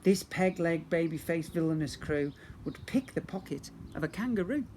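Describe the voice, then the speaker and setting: A man's voice: on the high side, animated, moderate pace, warm tone, a little hiss or hum. A man reading a rhyming storybook aloud to young children like a teacher, theatrically and with asides to his listeners.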